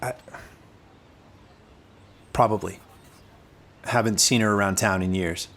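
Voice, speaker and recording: Cagey, sardonic informant. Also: male voice, fairly low pitch, slow, fairly bright tone. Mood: reluctant, evasive. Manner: guarded, clipped, needling. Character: evasive, cagey, sardonic male informant in his thirties. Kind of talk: naturalistic audio drama dialogue.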